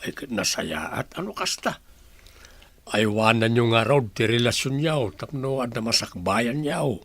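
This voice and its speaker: A man playing a caring older village father who gives advice, gravelly and Ilocano-accented, in a radio drama. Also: medium pitch, lively intonation, measured pace, a bit bright, no echo. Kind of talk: radio comedy-drama acting.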